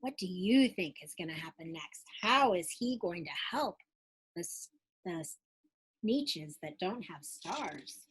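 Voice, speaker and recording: A woman, a teacher, reading a children's picture book aloud in an expressive, dramatic way for young listeners. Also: fairly low pitch, measured pace, husky.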